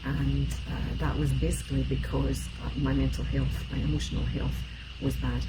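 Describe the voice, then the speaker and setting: Low-voiced Scottish woman in her fifties. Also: little pitch movement, moderate pace, heavy background noise. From Scotland. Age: 50s. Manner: chatty, rambling with many fillers. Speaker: emotional support specialist. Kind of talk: live-streamed talk.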